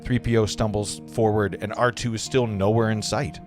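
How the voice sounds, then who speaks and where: Male narrator, lowish voice, reading scene directions with occasional stumbles over faint music. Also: steady tone, moderate pace, darkish tone. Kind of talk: reading stage directions at a table read.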